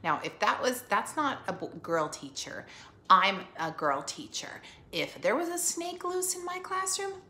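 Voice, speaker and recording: Animated woman teacher reading aloud, echoey room. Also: on the low side. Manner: expressive, prompts listeners with questions. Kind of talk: animated read-aloud for children with asides and questions. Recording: echoey room, faint background noise.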